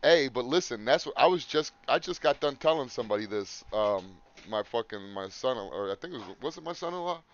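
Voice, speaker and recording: A loud man co-hosting a podcast, rambling and swearing in casual chat. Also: mid-range voice, lively intonation, brisk, hardly any pauses. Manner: rambling, swears often, laughs. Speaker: podcast co-host. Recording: dull lo-fi sound.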